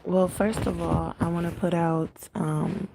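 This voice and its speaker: A woman with a raspy, cracking voice, working as a spiritual medium and leading an earnest prayer on a livestream. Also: lowish voice, little pitch movement, unhurried, very noisy. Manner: earnest, prayerful, repeats invocations.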